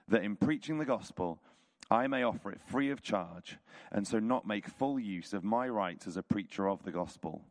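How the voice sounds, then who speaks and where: A man with a hoarse, croaky voice reads a scripture chapter aloud at a church service, in a measured, rhetorical way. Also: fairly low pitch. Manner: casual intro, then measured rhetorical reading. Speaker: church leader reading scripture. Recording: reverberant hall.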